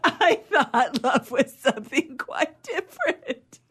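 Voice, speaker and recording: A woman's voice: high-pitched, sing-song, takes it slowly, a bit bright, heavily acted. A woman acting a petulant, naive young romantic in a comedy radio play, pouting, plaintive and melodramatic. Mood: indignant.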